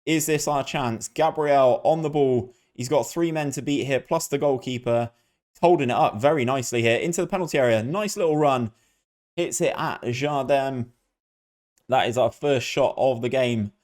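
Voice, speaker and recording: A man's voice: medium pitch, normal speed, darkish tone. A man hosting a gaming video, giving casual, chatty play-by-play and talking directly to his viewers. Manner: chatty, addresses viewers as guys.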